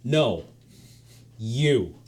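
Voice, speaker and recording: A man's voice: mid-range voice, lively intonation, extremely slow, a little mellow. A man reading the lines of a casual, patient male God who talks like a teacher. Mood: offhand. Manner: breezy and offhand, teacherly.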